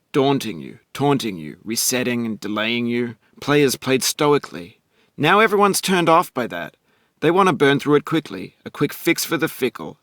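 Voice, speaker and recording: Calm, wry male game narrator. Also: mid-range voice, no room sound, clean studio sound. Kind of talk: reflective voice-over narration.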